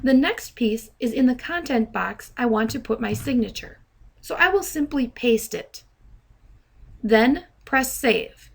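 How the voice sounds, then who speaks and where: A woman presenting step-by-step software training in a deliberate, instructional manner. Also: on the high side, lively intonation, gravelly, breathy edge. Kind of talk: step-by-step tutorial narration. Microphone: handheld dictation microphone.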